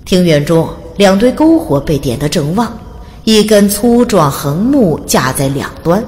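A woman's voice: on the low side, very animated, unhurried, darkish tone. A woman reading scripted story narration in a dramatic audiobook style. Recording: long reverb, faint background noise.

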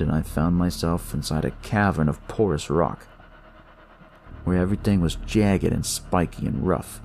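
A man's voice: low voice, creaky, a little mellow. A man narrating a first-person detective tale as a scripted story.

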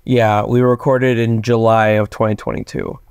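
Smooth-voiced young man, interview guest. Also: little pitch movement, normal speed, darkish tone, no echo, clean studio sound. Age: young adult. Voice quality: tenor, lowered from just waking up. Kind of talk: casual interview conversation. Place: plain small room indoors, video call.

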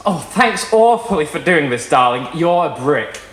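A man voicing a breezy, worldly young woman from a period short story, with brisk old-fashioned gushing. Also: fairly high pitch, lively intonation, fairly bright tone, reverberant, rough recording.

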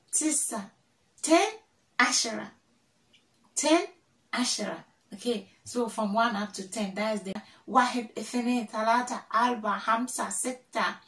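A young woman from Ghana talking to camera in a vlog, slowly drilling words and repeating each one several times. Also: a little high, pushed and bright, recorded from a distance. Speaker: vlogger. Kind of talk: casual teaching to camera. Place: small room indoors, plain wall. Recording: echoey room, voice off-mic.

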